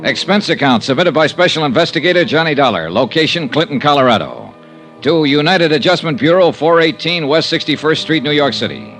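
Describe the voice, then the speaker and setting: An actor playing a tough, wry insurance investigator in 1950s radio detective style, clipped and sardonic. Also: male voice, fairly high pitch, sing-song, brisk, a bit bright, faint music behind. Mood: wry narration. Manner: clipped, hard-boiled, sardonic. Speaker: lead actor and narrator.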